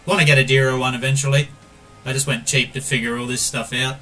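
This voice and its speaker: A man's voice: mid-range voice, flat delivery, fast talker, a bit bright, faint music behind. An Australian man streaming a game, chatting casually and calling everyone mate while he reads viewer messages aloud. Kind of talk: casual gameplay stream chat.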